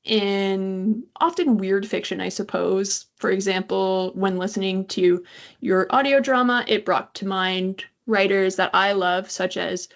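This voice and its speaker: A woman's voice: mid-range voice, measured pace. A woman interviewing guests, talking in a reflective, conversational way. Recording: dull, lo-fi audio.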